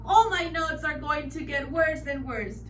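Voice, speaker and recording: A woman's voice: high voice, unhurried, fairly bright tone, pitched above the performer's own voice. A woman voicing an anxious young male nightingale who stammers and whines. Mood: fretful. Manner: stammering, whining, fretful. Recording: roomy, distant, faint noise.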